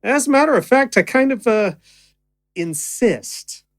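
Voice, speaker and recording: A woman's voice: a little high, expressive, unhurried, no room sound, studio quality. A woman playing a young stage magician, affectionate and easily flustered, in a naturalistic audio drama. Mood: awkward, flustered.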